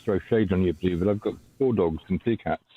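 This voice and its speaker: A man on a headset as a stream guest, with a muffled bassy voice, mumbling and wry. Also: a little fast. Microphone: headset used for phone calls. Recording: muffled phone-like headset audio.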